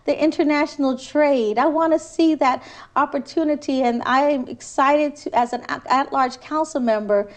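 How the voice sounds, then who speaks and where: A woman's voice: high voice, normal speed. A woman from Trinidad, a city council candidate, answering interview questions in an earnest, persuasive way with frequent restarts.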